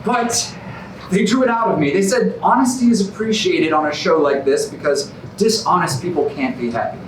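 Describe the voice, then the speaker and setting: An actor playing a smug, falsely serene reality show contestant in a comedy staged reading. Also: male voice, fairly high pitch, normal speed, natural voice. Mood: defensive. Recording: very echoey, noisy, distant stage recording.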